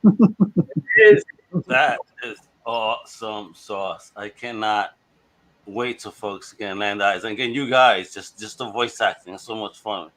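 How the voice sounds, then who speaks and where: Excited podcast host guy, bright edgy voice, gushing. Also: lowish voice, slow, poor audio. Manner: enthusiastic, gushing. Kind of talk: casual chat.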